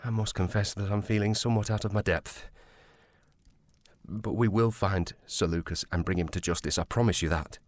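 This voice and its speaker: An actor playing a courteous, reserved police sergeant in a period audio drama, speaking in a formal, measured manner. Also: male voice, fairly low pitch, fairly even intonation, no echo, close to an everyday voice. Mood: sober, earnest.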